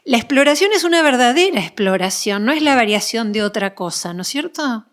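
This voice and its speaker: An Argentine woman hosts a conversational interview in a fairly high voice. She reflects aloud as she frames her questions, often adding short tags to seek agreement. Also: expressive, fairly quick. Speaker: podcast interviewer.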